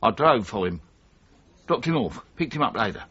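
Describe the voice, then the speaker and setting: An actor in a radio drama playing a surly, wary getaway driver who talks in a blunt, gruff, defensive way. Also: male voice, mid-range voice, big pitch swings, normal speed, fairly bright tone, exaggerated voice. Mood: grudging, evasive. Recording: dull, slightly muffled 1980s radio broadcast.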